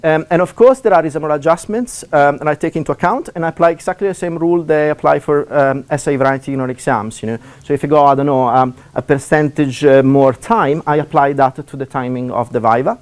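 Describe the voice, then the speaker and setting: A man from Italy, speaking English with an Italian accent, giving a seminar talk as a university lecturer; he talks fast with many fillers and restarts. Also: fairly high pitch, darkish tone.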